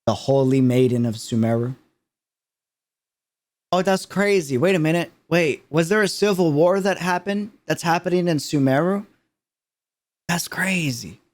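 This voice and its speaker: A man giving a casual livestream reaction in an emphatic, slangy way, repeating phrases to drive them home. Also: a little high. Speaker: streamer reacting to a game character trailer.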